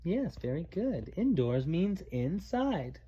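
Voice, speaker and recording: A man's voice: a little high, very animated, takes it slowly, muffled recording, a crowd in the background. A man reading a children's storybook aloud to a child, playful and theatrical, stopping to explain words simply.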